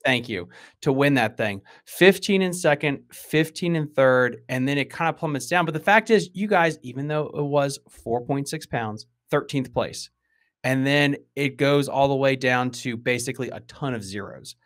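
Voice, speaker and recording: A man's voice: medium pitch, normal speed, fairly bright tone. A man in his thirties hosting a casual podcast, speaking bluntly and informally with emphasis, close on a podcast microphone. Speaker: podcast host. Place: indoor room at a desk, on a video call. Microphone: close boom-arm podcast microphone.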